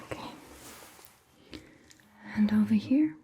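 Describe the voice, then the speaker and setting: A woman whispering softly and reassuringly in an ASMR roleplay as a calm, caring vampire doctor, close to the microphone. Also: medium pitch, slow pace, dull audio, a little hiss or hum. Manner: soft whispering, gentle and reassuring.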